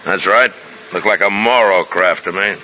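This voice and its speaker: Gruff, sharp-voiced sea captain. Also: male voice, low-pitched, normal speed. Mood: gruff, guarded. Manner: blunt, irritable, curt. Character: gruff, defensive schooner skipper, blunt and quick-tempered. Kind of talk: old-time radio drama acting. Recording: noisy vintage radio drama recording.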